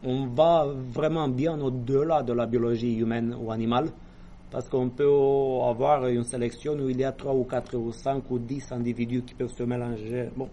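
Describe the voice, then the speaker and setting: A man's voice: mid-range voice, steady tone, normal speed, mellow, slight background noise. A man giving a university lecture, explaining step by step with hesitations, apologetic asides and small jokes.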